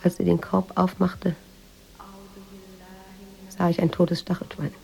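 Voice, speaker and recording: A reflective woman writer in a radio drama. Her low voice is mellow and often creaky, and she tells her memories with measured, literary introspection over faint noise. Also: level tone, poor audio. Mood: hushed recollection. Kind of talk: radio drama narration and dialogue.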